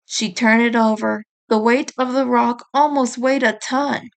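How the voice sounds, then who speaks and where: A woman narrates a story in a high voice. She takes her time, reading at a slow, deliberate pace in the manner of an audiobook storyteller. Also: steady tone, gravelly. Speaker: author reading own book chapter.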